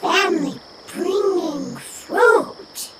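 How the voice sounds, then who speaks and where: High, halting little creature. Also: female voice, heavy background noise, full character voice. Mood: anxious, halting. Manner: halting, clipped fragments. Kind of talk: video game voice acting.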